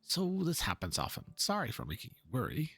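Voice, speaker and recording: A man's voice: mid-range voice, wildly swooping pitch, brisk, creaky, a bit bright, slightly rough, soft background music, heavily acted. A man voicing an earnest, fretful painter from a video game, speaking politely in formal, wordy lines. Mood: fretful, brooding. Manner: formal, ponderous. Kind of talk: dramatic reading of game dialogue.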